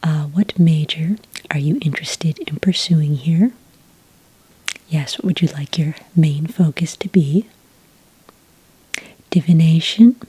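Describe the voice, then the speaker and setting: A woman playing a friendly, patient witch enrollment clerk in an ASMR roleplay, speaking in a gentle, breathy whisper with an unhurried, helpful manner. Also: deep voice, exaggerated voice, poor audio. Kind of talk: soft-spoken ASMR roleplay.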